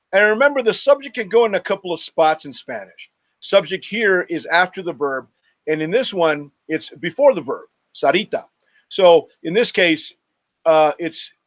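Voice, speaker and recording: A man's voice: fairly high pitch, highs cut off. A man teaching a lesson over a screen share, explaining step by step in an instructive manner and spelling things out. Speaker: Spanish teacher.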